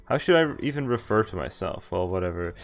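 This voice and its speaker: A man streaming a visual novel, reading its text aloud and chatting in a halting, thinking-aloud way. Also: sits low, expressive.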